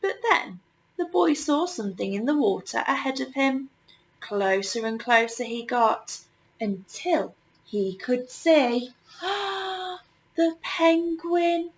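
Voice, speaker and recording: A woman reading a children's picture book aloud as a storyteller, measured and with deliberate pauses. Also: high voice, low-quality recording.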